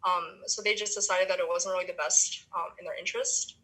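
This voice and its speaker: A woman's voice: lowish voice, fairly even intonation, fairly quick, bright, forceful tone, breathy edge, rough recording. A young woman from the Philippines, a university student, chatting casually in an interview with many ums and restarts. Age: young adult.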